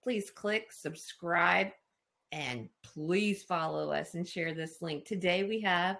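A woman hosts a casual interview show in a low voice with a gravelly edge. She is upbeat and full of praise for her guest. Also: slow pace. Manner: warmly enthusiastic, affirming. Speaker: podcast host.

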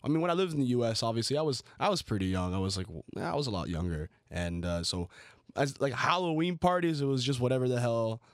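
A man's voice: medium pitch, lively intonation, fast talker, dry recording, studio quality. A young man from Colombia and the United States hosting a casual chat, telling anecdotes in informal, slangy language. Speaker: show host.

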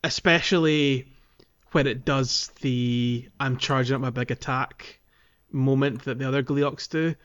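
Man, medium pitch, informal podcast host, conversational gaming talk, muffled lo-fi recording. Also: steady tone, measured pace, fairly bright tone, clean tone. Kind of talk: casual gaming podcast chat. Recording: dull, lo-fi voice recording.

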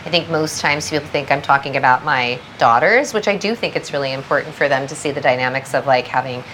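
A woman's voice: a bass voice, quick pace, fairly bright tone, a little hiss or hum, rough recording. A woman from Southern California, a guest brand founder, talking reflectively in a casual podcast interview and thinking aloud.

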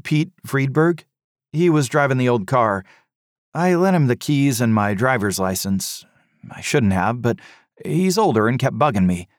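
A young son as a man puts him on, raised in pitch and eager, tumbling through apologies. Close, dry storybook sound. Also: lively intonation, a little slow, no echo, natural voice. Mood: apologetic.

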